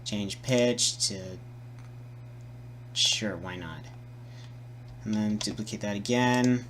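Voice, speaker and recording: A man presenting a casual, improvised software tutorial, muttering and repeating himself as he works. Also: on the low side, slow pace, a little hiss or hum. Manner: hesitant, muttering, repeating words while working.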